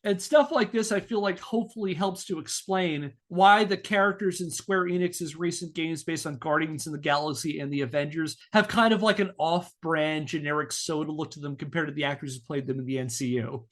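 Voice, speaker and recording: A man's voice: on the high side, a little fast, hardly any pauses, a bit bright. A man hosting a podcast, reading scripted narration with casual asides in a wry, emphatic storytelling manner. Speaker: podcast host.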